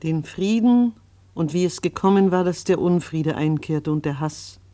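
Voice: mid-range voice, normal speed, warm tone, no room sound. A narrator reading a radio drama script in a grave, reflective, literary manner.

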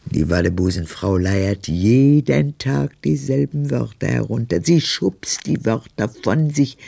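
An actress playing a very old, irritable, death-haunted woman in a literary radio drama monologue, husky and theatrical. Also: a bass voice, no echo, full character voice. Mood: scornful. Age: older. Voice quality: gravelly, husky.

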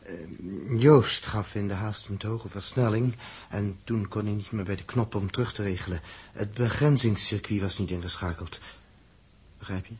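Sixties radio sci-fi: edgy, sardonic crewman, rough husky voice on the low side. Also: normal speed, darkish tone. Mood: grave, reluctant. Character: blunt, jealous, edgy male spaceship crewman. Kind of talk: 1960s radio drama acting.

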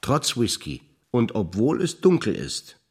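An older man performing scripted stage dialogue, measured and reflective, often sinking to hushed low phrases. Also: wildly swooping pitch, breathy edge. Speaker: stage actor.

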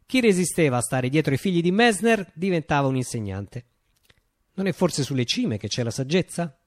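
An actor playing a visionary revolutionary who recounts his utopia in a declamatory radio theatre monologue, with deliberate pauses and emphasis. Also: on the high side, expressive, no room sound, studio quality, natural voice. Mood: impassioned.

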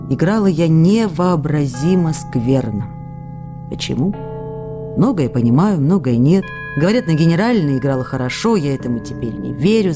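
An excitable young actress writing letters. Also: female voice, deep voice. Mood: despairing. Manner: animated and excitable, swinging to despair. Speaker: reader of letters in a radio play. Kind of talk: radio drama letter reading.

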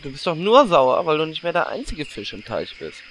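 An actor playing a flirty, melodramatic, bickering adventurer in a parody audio drama, teasing and theatrical. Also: male voice, a little high, very animated, a little fast, a bit bright, slight background noise. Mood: taunting.

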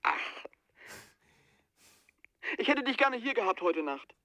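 Radio play role: haunted, agitated man, high bright voice, anxious and pleading. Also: sing-song, slow, exaggerated voice. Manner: urgent, agitated, hesitant. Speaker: radio drama actor. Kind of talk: 1980s German radio play acting.

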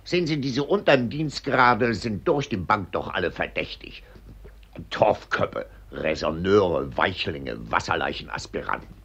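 An actor playing a pompous, callous middle-aged army colonel in a mid-century radio drama, barking and disdainful. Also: male voice, low-pitched, expressive, measured pace, gravelly, a bit bright, husky, full character voice. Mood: contemptuous. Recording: dull, band-limited old radio recording.

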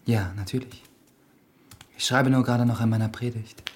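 An actor playing an earnest, defiant priest in a German radio drama, with a solemn rhetorical delivery. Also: male voice, medium pitch, steady tone, moderate pace, husky, close to an everyday voice. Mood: flustered, hushed. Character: earnest young priest, defiant preacher of forbidden truth. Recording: studio drama with prominent music behind.

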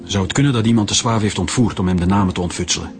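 A man playing a brisk police director in a radio drama. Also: fairly low pitch, music in the background, lightly acted. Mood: businesslike. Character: brisk male police director giving orders.

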